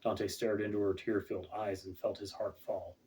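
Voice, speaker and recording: Mellow, distant male storybook narrator. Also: lowish voice, little pitch movement, moderate pace, a little hiss or hum. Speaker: author reading own book. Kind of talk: scripted fantasy audiobook narration. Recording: distant, off-mic home recording.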